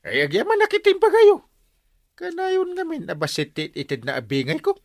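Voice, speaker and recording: An actor with an Ilocano accent playing an aggrieved, sputtering village captain in a radio comedy drama. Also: male voice, very high-pitched, wildly swooping pitch, moderate pace, no echo, studio quality, heavily acted. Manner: sputtering, exclamatory. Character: aggrieved, excitable male village captain who owns a tricycle.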